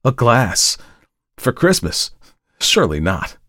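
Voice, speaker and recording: A man's voice: mid-range voice, very animated, moderate pace, no room sound, studio quality, voice pushed up, lightly acted. A man voicing an agitated, brooding male character in a dramatic storybook reading, speaking with bitter, pleading intensity. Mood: shocked, scornful. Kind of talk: dramatic audiobook character acting.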